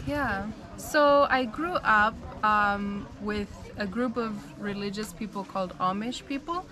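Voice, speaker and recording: A young American woman telling her life story in a relaxed, chatty way, with frequent "um" and "like". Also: mid-range voice, unhurried, a crowd in the background. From United States. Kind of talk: casual storytelling.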